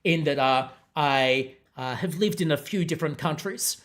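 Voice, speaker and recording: A man with a mixed international accent, hosting a podcast and answering viewer comments in a measured, unruffled way. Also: on the high side, fairly bright tone. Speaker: podcast host.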